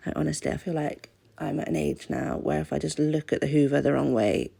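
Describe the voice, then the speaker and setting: A woman's voice: sits low, fairly quick, creaky, mellow, husky. A British woman with a stuffy-nosed voice from a cold, chatting casually and self-deprecatingly in a craft voice-over. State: has a cold. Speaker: craft channel host.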